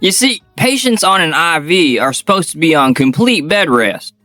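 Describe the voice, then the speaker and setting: A man voicing a young surgeon in a manga dub, talking in a firm, scolding but concerned way, in a higher voice than his own. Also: lively intonation, moderate pace, pushed and bright, no echo, faint music behind. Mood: brisk. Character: firm, caring young male surgeon friend.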